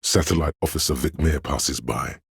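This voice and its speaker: A brooding inner voice narrates a detective game. It sits extremely low, with a crackling fry and heavy huskiness. The delivery is measured, solemn and dramatic, like literary scripted narration. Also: male voice, no room sound, studio quality, full character voice. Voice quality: very deep, gravelly, husky.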